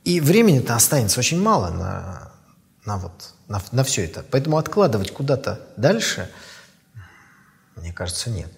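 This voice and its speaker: A man in his fifties, an author, giving thoughtful interview answers in a measured way with pauses and fillers. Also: mid-range voice, very animated, gravelly, long reverb tail. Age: 50s.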